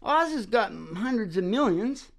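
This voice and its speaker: A woman's voice: on the high side, animated, unhurried. A woman hosting a casual music and talk show, rambling in a folksy, teasing, opinionated way. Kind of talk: casual rambling chat between songs.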